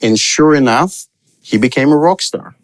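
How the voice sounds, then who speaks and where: A middle-aged man answers interview questions in a reflective, storytelling way. His speech rambles and restarts as he works through his thoughts. His pitch sits in an ordinary middle range for a man. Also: lively intonation, moderate pace. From Israel, raised in Africa. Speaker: guest, sales expert.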